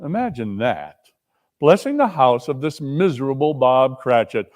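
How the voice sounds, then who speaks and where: A man's voice: mid-range voice, sing-song, measured pace, a little mellow, big-room sound. A man narrating a classic tale as a dramatic reader.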